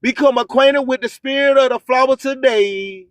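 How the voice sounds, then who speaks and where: A man's voice: very high-pitched, normal speed, no echo. A man hosting a radio show, delivering promotional patter and preachy slogans, repeating phrases for emphasis. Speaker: radio host.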